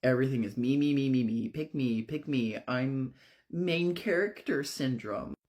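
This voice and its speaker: A man's voice: mid-range voice, a little slow, a little mellow, pitched above the performer's own voice, natural voice. A man voicing a resentful, fed-up female model in a dry, scornful, sardonic way. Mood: mocking.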